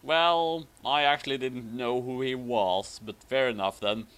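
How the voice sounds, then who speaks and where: A man reading a story aloud in an animated, dramatic way with joking commentary. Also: mid-range voice, unhurried, clear, resonant tone. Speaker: host reading fan fiction aloud.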